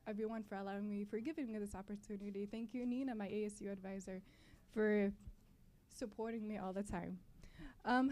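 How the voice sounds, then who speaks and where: Young woman, Palestinian-born, native Arabic speaker, slightly high voice. Student club speaker addressing an event, a little hesitant. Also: darkish tone. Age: young adult. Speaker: student club board member giving introduction. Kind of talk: welcome speech to an audience. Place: college library event.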